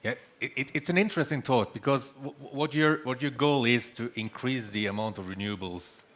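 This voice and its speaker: A man from Norway with a Norwegian accent, explaining haltingly on a conference panel, stammering at the starts of words. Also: mid-range voice, fairly bright tone. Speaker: panelist, data center chief executive. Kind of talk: panel discussion, unscripted explanation.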